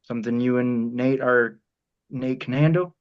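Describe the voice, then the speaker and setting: A man's voice: mid-range voice, fairly even intonation, normal speed, smooth, muffled recording, natural voice. A man acting a shrewd oilman in his fifties in a script read, blunt and assured. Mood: brisk, blunt. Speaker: actor.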